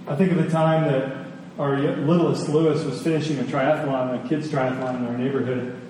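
A man giving a sermon in a conversational way, telling personal stories with emphatic repetition and pauses. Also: medium pitch, level tone, darkish tone. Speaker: preacher. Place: large reverberant room with an audience. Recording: echoey hall, distant, faint noise.

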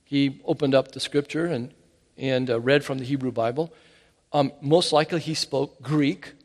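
A man preaching a sermon in an animated, emphatic way, often restarting his phrases. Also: medium pitch, normal speed. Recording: hall reverb.

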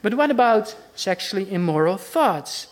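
A woman's voice: mid-range voice, sing-song, moderate pace. A woman with a Dutch accent delivers a sermon. She preaches earnestly and conversationally, putting question after question to her listeners.

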